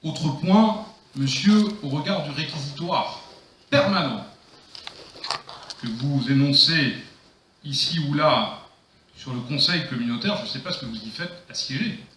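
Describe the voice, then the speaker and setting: Male mayor, sharp and emphatic political rebuttal, declamatory, roomy sound with faint noise. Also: mid-range voice, a little slow, long pauses, far from the mic. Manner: emphatic, declamatory, rhetorical repetition. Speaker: mayor chairing the council. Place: council meeting room. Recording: echoey room, faint noise, clicks.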